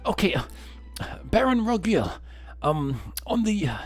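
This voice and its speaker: A man voicing a nervous, flustered prosecutor in a courtroom game, halting and stammering anxiously. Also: sits high, big pitch swings, faint music behind, pitched above the performer's own voice.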